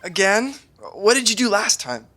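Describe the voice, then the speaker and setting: An actor playing a nervous, awkward young sitcom roommate who rambles, stammers and apologizes. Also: male voice, high-pitched, sing-song, normal speed, bright, forceful tone, heavily acted. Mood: casual. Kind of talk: sitcom acting.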